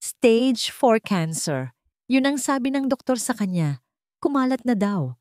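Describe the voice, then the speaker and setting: A woman's voice: a little high, sing-song, unhurried, darkish tone, no echo, clean studio sound, voice pushed up. A woman voicing a desperate, anguished young man in an audio drama, pleading dramatically. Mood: bewildered.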